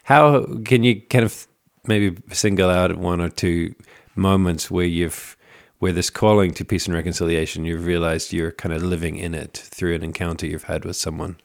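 A man's voice: deep voice, fairly even intonation, moderate pace, creaky, few pauses, no room sound, studio quality. A man interviewing a guest, asking long rambling, tentative questions.

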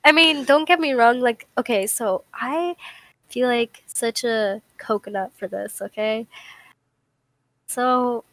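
A young American woman in a casual chat, slangy and opinionated as she tells stories in an animated way. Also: fairly high pitch, takes it slowly, no room sound. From United States. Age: young adult. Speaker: participant in a group call.

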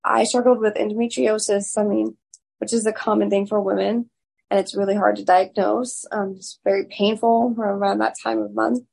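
A woman guest with a raspy voice, speaking loudly and frankly in a candid interview. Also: mid-range voice, little pitch movement, moderate pace. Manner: loud, frank and open.

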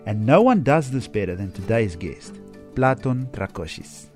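A man's voice: on the low side, very animated, moderate pace, a little mellow. A man hosting a podcast, reading a punchy scripted intro. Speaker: podcast host. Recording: music bed under voice.

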